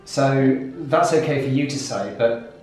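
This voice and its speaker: A man's voice: medium pitch, measured pace. Stammering male host in an echoey room. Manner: hesitant, stammering restarts, lively openings. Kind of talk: interview questions. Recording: echoey room, heard from some distance, soft music.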